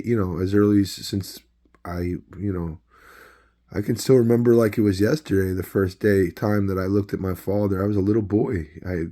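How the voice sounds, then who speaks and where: Low-voiced man losing his voice. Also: mellow. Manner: rambling, halting, many fillers and restarts. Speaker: video host. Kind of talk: casual personal storytelling to camera.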